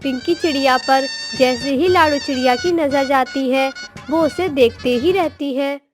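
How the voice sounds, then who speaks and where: A woman's voice: high-pitched, measured pace, music in the background. A woman narrator reading a scripted moral story for a cartoon, in storytelling style.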